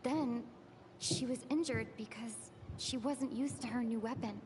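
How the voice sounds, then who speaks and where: A woman's voice: fairly high pitch, background noise, close to an everyday voice. A woman voicing a weary dreamy noblewoman. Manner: slow, soft, partly whispered. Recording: game audio with soundtrack.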